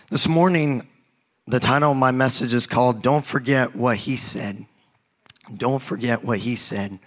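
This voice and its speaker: A young man with a raspy voice, hoarse from a recent cold, preaches as a youth pastor. His pitch sits in the middle range, and he talks casually, stumbling and restarting. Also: fairly bright tone. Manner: casual, halting, with many restarts and fillers. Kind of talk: sermon.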